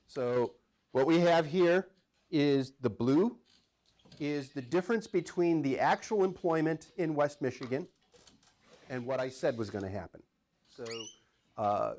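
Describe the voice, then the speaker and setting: A man's voice: medium pitch, a little mellow. A man, an economics professor giving a live talk in a small room, conversational and wry, asking the audience questions. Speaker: economics professor, featured speaker.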